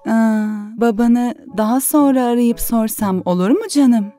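A patient, tender mother from a cartoon dub, played by a woman. She talks slowly in a mellow voice, with soft music behind her. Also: a little high, lightly acted. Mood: reassuring. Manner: soothing, tender. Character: gentle, patient, devout mother. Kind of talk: dubbed children's cartoon acting.

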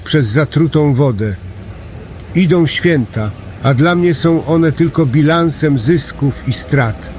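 Male actor as hopeless unlucky man, slowish delivery, slightly high voice, melancholy monologue, faint street noise. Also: darkish tone, close to an everyday voice. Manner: melancholy, self-pitying. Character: despairing, unlucky man weary of his life. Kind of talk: audio drama monologue.

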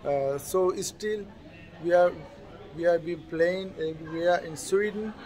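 Halting non-native man, slow and high-voiced. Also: darkish tone, soft background music. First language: not English. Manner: halting, searching for words in non-native English. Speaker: vlog co-host. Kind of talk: casual vlog chat.

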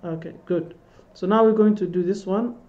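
A man's voice: sits high, normal speed, warm tone, dull audio. A man teaching an online language lesson, patiently repeating phrases for students to echo, recorded through a webcam on a video call. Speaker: Arabic teacher. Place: indoor room.

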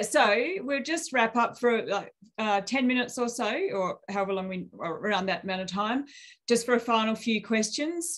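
A woman in her thirties with an Australian accent, hosting a webinar question session in a casual, rambling way. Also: fairly high pitch. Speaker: webinar host. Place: room at home. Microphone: video call webcam.

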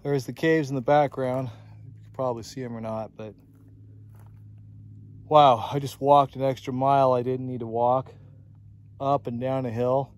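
A man's voice: mid-range voice, fairly even intonation, moderate pace, long pauses, a little mellow. Tired man hiking a desert mountain. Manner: breezy, talks to himself while hiking, exclamations. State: tired, a bit out of breath from climbing. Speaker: desert explorer vlogger. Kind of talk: casual hiking vlog narration. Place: rocky desert mountainside outdoors. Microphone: handheld phone or action camera.

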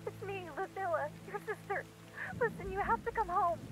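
A woman voicing a very high, frightened young sister. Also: moderate pace, poor audio. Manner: tearful and pleading, bursts into anger. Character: frightened young woman alone after her mother's death. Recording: loud music under the dialogue.

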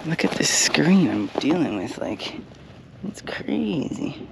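A man's voice: a little high, takes it slowly, vocal fry. A young man fishing from a kayak, casually talking through his plan as he fishes, recorded on an action camera out on the water. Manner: relaxed, thinking aloud while fishing. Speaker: kayak angler. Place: kayak on open water outdoors. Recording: windy outdoor camera audio. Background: wind and water.

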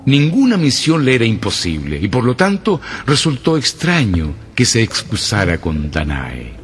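A Chilean man playing the ominous, measured, dramatic storyteller of a radio mystery drama. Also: mid-range voice, wildly swooping pitch, noisy background. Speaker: narrator. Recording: music behind the voice.

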